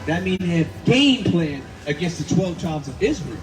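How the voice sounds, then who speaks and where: A man preaching outdoors, forceful and emphatic, repeating his points. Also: a little high, big pitch swings, normal speed. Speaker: street preacher. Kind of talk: street preaching. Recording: distant mic with loud street noise.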